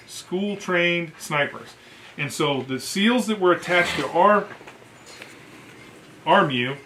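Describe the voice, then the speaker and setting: A man's voice: fairly high pitch, slight background noise, rough recording. A man who is a military veteran tells war stories casually, acting out what others said in colloquial, profane language. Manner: profane, colloquial, animated retelling. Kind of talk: casual storytelling with acted-out quotes.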